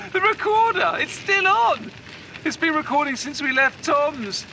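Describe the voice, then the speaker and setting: A woman acting a shaken but determined, compassionate survivor in a horror audio drama, halting and stammering through her words. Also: sits high, big pitch swings, sharp tone, full character voice. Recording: noisy outdoor scene effects.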